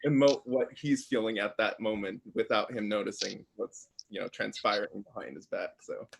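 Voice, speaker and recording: A man's voice: on the low side, steady tone, moderate pace, vocal fry, breathy edge, poor audio. A man from a cast chatting casually during a question session. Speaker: stage actor. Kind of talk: casual chat.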